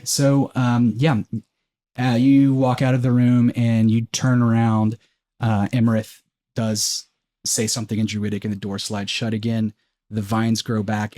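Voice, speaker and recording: A man with a lowish, closely recorded dry voice runs a tabletop game. He improvises scene descriptions informally and talks to players in a conversational way. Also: a little mellow, dry recording. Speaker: game master.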